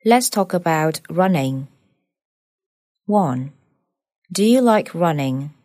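Mellow woman reading exam questions. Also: on the low side, animated, slow pace, dry recording, clean studio sound. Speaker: examiner.

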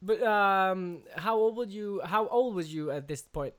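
A man hosting a podcast, asking questions in a halting way and stumbling over his words. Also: sits high, fairly bright tone. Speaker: podcast host. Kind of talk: casual interview chat.

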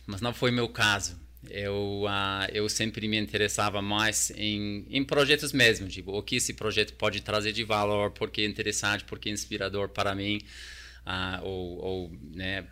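A man with a fairly low but bright, forward voice, speaking as a talker. He chats casually as an interview guest, hesitant and often restarting with repeated words. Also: smooth. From New Zealand. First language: English. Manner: hesitant, repeats words while searching for Portuguese.

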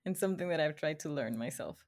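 Woman from ecuador just chatting, kinda low voice, informal and expressive. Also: fast talker. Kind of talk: casual conversational chat.

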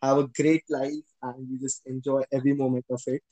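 A man's voice: mid-range voice, flat delivery, a little fast, warm tone, rough recording. A young man hosting a podcast, asking rambling questions and reacting sympathetically. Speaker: podcast host. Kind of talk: casual interview chat.

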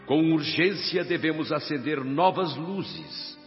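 An actor playing a noble, dignified old male spirit leader in a radio drama, speaking in a solemn, measured, oratorical way. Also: on the high side, expressive. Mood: earnest, exhorting. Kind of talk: radio drama acting, formal speech. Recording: dull lo-fi, long hall reverb, music. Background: music.

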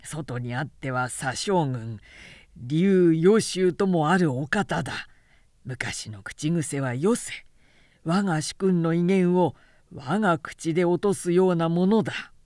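A woman voicing a stern, loyal male general who speaks in a measured, admonishing way, in an audiobook novel. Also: deep voice, darkish tone, no room sound, clean studio sound. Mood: stern reproof. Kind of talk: literary audiobook dialogue.

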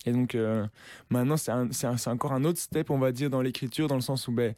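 Young musician dude rambling casually, warm low-key tone, dry close sound. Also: male voice, fast, no room sound. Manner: relaxed slangy talk with many fillers and restarts. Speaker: musician guest. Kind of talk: casual interview chat.

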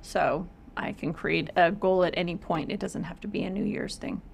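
A woman's voice: lowish voice, moderate pace, gravelly, slight background noise. Reflective middle-aged woman co-host. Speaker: podcast co-host, counselor. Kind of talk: casual podcast chat.

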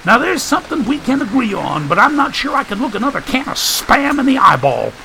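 A man voicing a brash, folksy, excitable wisecracker in an audiobook story. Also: very high voice, a little fast, vocal fry, slight background noise, voice pushed up, heavily acted, low-quality recording. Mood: wisecracking. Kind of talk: audiobook character voice.

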